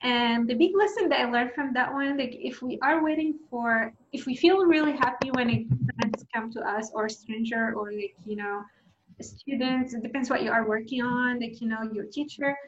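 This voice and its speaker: A woman's voice: a little high, normal speed, lo-fi sound, slight background noise. Syrian woman w/ chatting, lots of "like", echoey. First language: Arabic. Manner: chatty, many fillers. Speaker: speaker. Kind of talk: casual talk sharing lessons.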